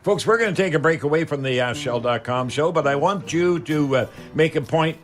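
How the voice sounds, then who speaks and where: A man's voice: fairly high pitch, a little fast, soft background music. An older man with a Canadian accent hosting a talk radio show in a folksy, rambling, casual way, often ending with "eh".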